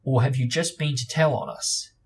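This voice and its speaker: A man reads a teenage schoolboy from a storybook, hot-tempered and weighed down by guilt. The voice is husky and creaky, and the words come out blunt and heated. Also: medium pitch, normal speed, darkish tone. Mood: savage bitterness. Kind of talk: storybook dialogue reading.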